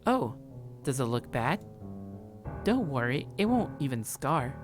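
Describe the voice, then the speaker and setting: A woman's voice: a bass voice, very animated, measured pace, faint music behind, full character voice. A woman voicing a sly yandere in a visual novel, falsely sweet, composed and teasing. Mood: creepily sweet.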